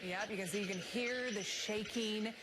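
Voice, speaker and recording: A woman's voice: medium pitch, unhurried. A woman presenting live breaking news on TV, commenting on video in a partly ad-libbed way, on a noisy broadcast recording.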